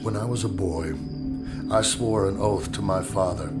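An American actor playing a formal, authoritative, stern Secretary of War in an 1865 historical audio drama. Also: male voice, deep voice, normal speed, over loud music, natural voice. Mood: resolute, quiet.

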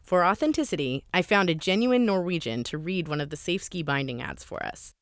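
A woman with a low voice narrates a documentary in a conversational, lightly wry way. Her pitch moves a lot from line to line. The sound is close and dry. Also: lively intonation, brisk, no echo. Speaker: host.

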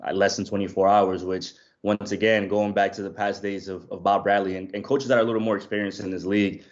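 A low-pitched man from Colombia talks through a soccer matchup in a calm, measured way, hedging his points as he goes. His audio comes over a remote line and sounds a little dull and lo-fi. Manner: measured, hedging with "I think" and restarts. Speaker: soccer reporter guest. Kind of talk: analytical sports podcast chat.

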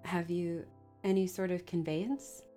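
A woman's voice: fairly low pitch, fairly even intonation, a little slow, warm tone, soft background music, lightly acted. A woman voicing an anxious young woman who asks earnest, pressing questions. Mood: urgent.

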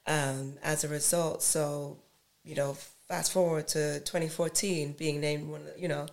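Woman, 30s, London accent softened by American, low-ish voice, loose chatty interviewee who laughs easily. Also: flat delivery, normal speed, creaky. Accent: East London with an American blend. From East London. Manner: chatty, laughs often, many fillers. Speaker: actress guest. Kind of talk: casual interview chat.

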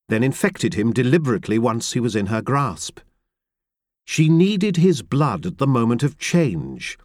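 A man reading a novel aloud in first person, with measured, reflective and grave storytelling from a close studio mic. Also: mid-range voice, darkish tone, no room sound. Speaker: audiobook narrator. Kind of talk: first-person literary narration.

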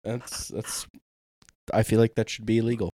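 A man co-hosting a casual chat, reacting quickly and restarting his sentences. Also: on the low side, steady tone, warm tone, slightly rough. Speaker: podcast co-host.

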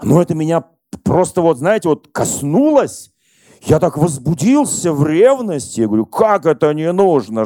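A middle-aged man preaching a sermon as pastor, telling stories and questioning the congregation in a lively conversational way. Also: a little high, dry recording. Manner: conversational, animated storytelling to congregation.

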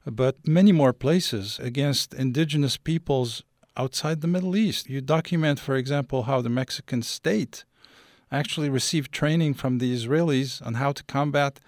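A man's voice: fairly high pitch, normal speed, gravelly, dry recording, studio quality. Man from Algeria asking long questions. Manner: long, detailed questions, formal phrasing. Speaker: interviewer. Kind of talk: radio interview questions.

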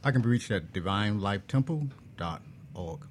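A man's voice: on the low side, lively intonation, moderate pace, a little hiss or hum. A man speaking as a talk show guest in a casual, conversational style.

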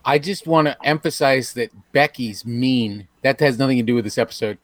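A man's voice: mid-range voice, brisk, no room sound. A man co-hosting a comedy podcast, talking in a rambling way with many stammered restarts. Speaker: podcast co-host and episode writer. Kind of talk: casual comedy podcast chat.

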